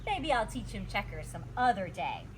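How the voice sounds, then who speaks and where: Woman as little girl, storybook character, excitable, very animated, emphatic, noisy background. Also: medium pitch, moderate pace, natural voice. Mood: falsely breezy. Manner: emphatic, childlike exclamations and grumbles. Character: excitable dramatic little girl narrating her own mishaps. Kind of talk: children's storybook read-aloud.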